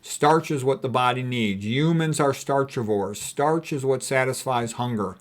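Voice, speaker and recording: A man's voice: mid-range voice, unhurried. A man with a mid-range voice presents health material informally. He alternates between reading quotations aloud and adding his own folksy commentary. His delivery is emphatic, and he repeats key statements to drive them home. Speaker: health educator presenting book quotes.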